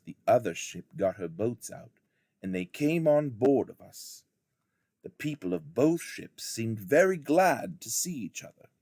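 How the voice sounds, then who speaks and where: A man reading a memoir aloud as a teacher would, with deliberate, dramatic phrasing. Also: on the low side, darkish tone, dry recording, clean studio sound. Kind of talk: expressive audiobook narration of a memoir.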